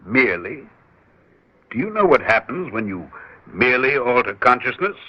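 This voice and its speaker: A persuasive research doctor. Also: male voice, mid-range voice, very animated, normal speed, breathy edge. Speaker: scientist. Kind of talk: 1960s radio drama acting. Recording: dull old radio recording.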